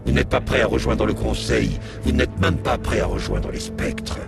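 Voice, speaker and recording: An actor playing an arrogant, contemptuous alien agent in a French video game, with a gravelly, haughty, theatrical delivery. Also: male voice, fairly low pitch, very animated, normal speed, exaggerated voice. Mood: scornful. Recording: loud music underneath.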